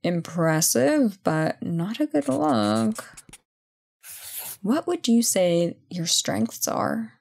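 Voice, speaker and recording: A woman playing a brisk, playful company boss in an audio roleplay job interview, chatty and full of wry asides. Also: medium pitch, big pitch swings, creaky, darkish tone, full character voice. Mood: wry, friendly. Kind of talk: ASMR roleplay, one-sided conversation.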